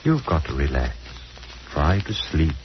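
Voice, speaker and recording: An actor playing a composed, bitter doctor in 1940s radio style, measured and authoritative, on a vintage recording. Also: male voice, a bass voice, sing-song, dull audio, exaggerated voice. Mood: calm, reassuring. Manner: measured, authoritative, turning cutting. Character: composed eye doctor, bitter spurned former lover.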